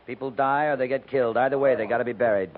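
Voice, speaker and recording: An American actor playing a seasoned, stoic cavalry captain in a 1950s radio western, speaking in a terse, dry, matter-of-fact way. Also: male voice, medium pitch, fast talker, a little hiss or hum, close to an everyday voice. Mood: grave, matter-of-fact. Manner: clipped, dry, unsentimental authority. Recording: tinny band-limited 1950s radio recording.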